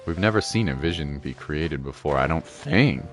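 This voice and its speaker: A man streaming a game, giving casual, rambling commentary and thinking out loud in a chilled way. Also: extremely low pitch, lively intonation, normal speed. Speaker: gaming streamer. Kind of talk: casual live game commentary, musing aloud. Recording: game music behind the voice.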